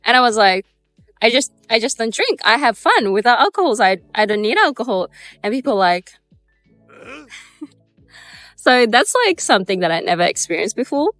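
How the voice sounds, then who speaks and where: A woman's voice: a little high, sing-song, moderate pace, pauses often, fairly bright tone, no room sound, soft background music. Bubbly Japanese woman with mixed accent. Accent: Japanese with Australian and British traces. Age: 20s. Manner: bubbly, lots of "like" fillers and laughs. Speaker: vlogger. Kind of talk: casual chatty Q&A.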